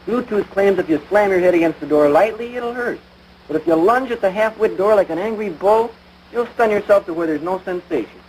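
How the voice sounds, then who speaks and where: An actor playing an earnest, dim-witted American high school boy in a domestic comedy, mixing slang with lofty words. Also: fairly quick, darkish tone, close to an everyday voice. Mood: earnest, matter-of-fact. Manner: earnest slangy talk mixed with lofty wording. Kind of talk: domestic radio-style situation comedy. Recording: noisy vintage broadcast recording.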